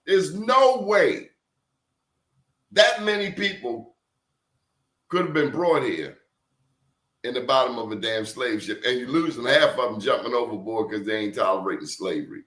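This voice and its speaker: Animated man telling a story in an echoey room. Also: mid-range voice, moderate pace. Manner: animated, emphatic storytelling with rhetorical questions. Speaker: professor and guest. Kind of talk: casual conversational talk.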